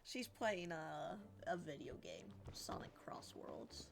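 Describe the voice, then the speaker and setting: Woman streamer with wildly swinging pitch. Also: sing-song, slow, gravelly. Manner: playful, exclamatory asides. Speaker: game streamer. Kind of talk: casual reactive game stream commentary. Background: game music.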